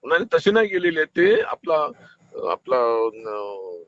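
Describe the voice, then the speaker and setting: A man's voice: medium pitch, sing-song, measured pace. A man giving defensive, placating, often repeated replies on a lo-fi recorded phone call. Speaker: political party liaison official.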